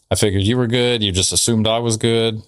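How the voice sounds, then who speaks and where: A man's voice: on the low side, fairly even intonation, moderate pace, clean tone, dry recording. A man co-hosting a podcast in casual, joking, conversational banter. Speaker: podcast co-host.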